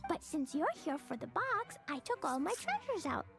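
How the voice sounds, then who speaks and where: Small excitable girl, very high, lively, innocent, game audio with music. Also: normal speed, gravelly, exaggerated voice.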